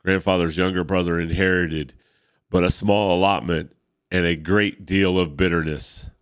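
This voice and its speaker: Man reading as a british gentleman with a vampire tale, low voice, smooth, stuffed-up. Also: no echo, clean studio sound. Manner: storytelling read-aloud. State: congested. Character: British gentleman recounting family vampire history.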